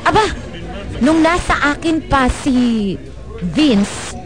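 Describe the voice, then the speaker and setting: With music behind her, an earnest, troubled single mother in a Filipino radio drama. Her high voice is emotive and pleading. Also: lively intonation, slow, crowd noise. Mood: reproachful. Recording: music bed under the voice.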